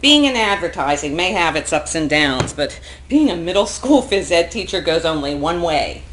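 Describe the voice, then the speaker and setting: A woman's voice: on the low side, sing-song, moderate pace, a bit bright. A woman acting a wry, salty middle-aged wife in a live stage comedy. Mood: sarcastic griping. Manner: wry, exasperated, theatrical. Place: theatre stage, live performance. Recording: echoey theatre recording, faint noise.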